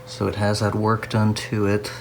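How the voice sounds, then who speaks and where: A man narrating a casual hands-on restoration video as a hobbyist, musing aloud to himself in an unhurried way. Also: fairly low pitch, monotone, gravelly, noisy background.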